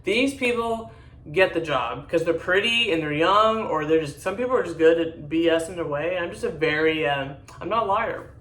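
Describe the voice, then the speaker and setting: A deep-voiced woman in her forties chatting casually to her viewers, rambling, blunt and candid. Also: expressive, moderate pace, fairly bright tone, recorded from a distance. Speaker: vlogger. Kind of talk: casual chat to camera. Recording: echoey room, voice a bit off-mic.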